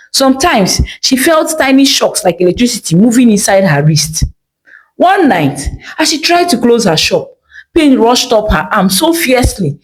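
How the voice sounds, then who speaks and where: Animated woman storyteller. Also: on the high side, low-quality recording. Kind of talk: dramatic scripted folktale narration.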